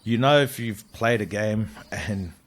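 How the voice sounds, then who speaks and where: A young man from New Zealand, a rugby league player talking as a guest, soft-spoken and hesitant with many pauses. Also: on the low side. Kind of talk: casual interview chat.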